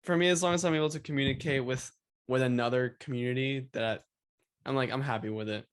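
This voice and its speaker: A young man from Venezuela hosting an interview in a relaxed, casual way, packing his speech with fillers. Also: mid-range voice, quick pace, smooth. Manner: relaxed, filler-heavy with lots of "like". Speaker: host.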